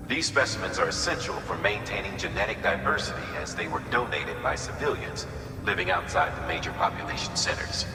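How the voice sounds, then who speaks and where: A man playing a stern, commanding official who gives formal, measured mission briefings in a game. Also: fairly low pitch, close to an everyday voice. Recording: echoey hall, clear background noise.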